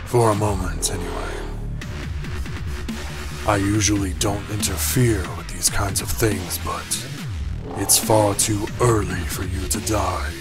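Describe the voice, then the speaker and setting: A man playing the devil in an audio drama, smug and menacing, speaking in a measured, sardonic, condescending way. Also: lowish voice, close to an everyday voice. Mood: smug, cold. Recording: loud electronic music behind. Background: loud electronic music.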